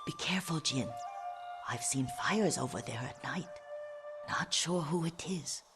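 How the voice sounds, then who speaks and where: A woman acting as a frail, devoted elderly female servant and herbalist in a video game drama, with a breathy aged voice and a gentle, halting, deferential manner. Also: deep voice. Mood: wistful, caring. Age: older. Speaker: game character voice actor. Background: music.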